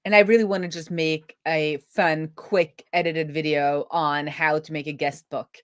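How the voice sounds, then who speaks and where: A woman hosting a casual live coding stream, thinking out loud as she works and often trailing off and rephrasing. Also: deep voice, fairly even intonation, moderate pace, dry recording, studio quality. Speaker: host, web developer live coding.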